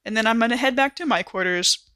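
A woman's voice: a little high, quick pace, bright, forceful tone, no echo. A woman improvising casual narration for her character as a tabletop roleplaying player.

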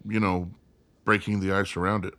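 A man's voice: low voice, level tone, normal speed, fairly bright tone, no room sound. An actor playing a doubtful traveler questioning his guide in a radio drama, with slightly hesitant phrasing. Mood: skeptical.

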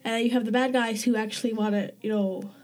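Female guest, warm low-key tone, loose and halting delivery, lots of fillers. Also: fairly even intonation. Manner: hesitant, with many fillers and restarts. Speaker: guest community member. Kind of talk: casual conversation.

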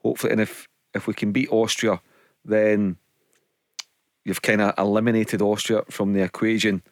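Low-voiced football pundit. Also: male voice, little pitch movement, moderate pace, dry recording, clean studio sound. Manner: rambling, many restarts. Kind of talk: sports radio analysis.

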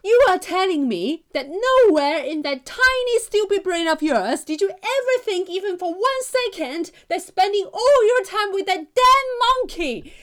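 A woman's voice: very high-pitched, fairly bright tone, heavily acted. A woman playing a fed-up, furious girlfriend in a comic radio play, scolding with indignant emphasis.

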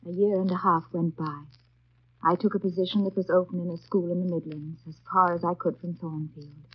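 An actress playing an earnest, resolute, sorrowful young English governess in formal, measured 1930s radio drama style. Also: female voice, fairly low pitch, level tone, breathy edge. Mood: wistful narrating. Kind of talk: 1930s radio drama acting and first-person narration. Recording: dull 1930s radio broadcast with music.

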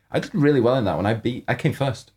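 A man co-hosting a casual podcast, slightly hoarse from coughing, rambling and teasing, often cutting himself off mid-sentence. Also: medium pitch, animated, fairly quick, a little mellow. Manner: teasing, rambling, self-interrupting. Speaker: podcast co-host. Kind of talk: casual chat.

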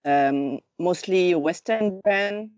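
Low-voiced Swiss French woman, hesitant. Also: darkish tone, no room sound. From Lausanne, Switzerland. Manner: halting, searching for words, many fillers. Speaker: podcast guest. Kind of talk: casual conversation. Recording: dull, dry remote recording.

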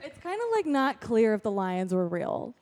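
A woman's voice: a little high, big pitch swings, normal speed, a little mellow. A woman hosting a podcast in casual chat, informal and slangy. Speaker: podcast host.

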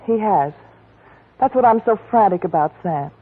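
A glamorous, pleading woman who coaxes in a husky, breathy voice. Her delivery is melodramatic, in 1940s radio style. The broadcast sounds thin and scratchy, like a tinny phone line. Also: medium pitch, lively intonation, normal speed. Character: glamorous woman, the detective's old flame, pleading.

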